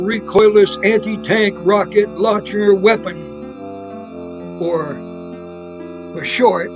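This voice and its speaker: A man hosting and narrating a scripted trivia segment in a storytelling style. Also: high voice. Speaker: producer and host.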